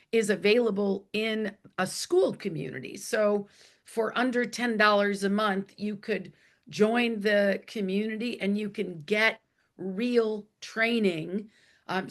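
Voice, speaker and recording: A woman with a hoarse voice, speaking as an interview guest in a plain, emphatic, conversational way. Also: mid-range voice, fairly bright tone. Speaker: interview guest, author and executive coach.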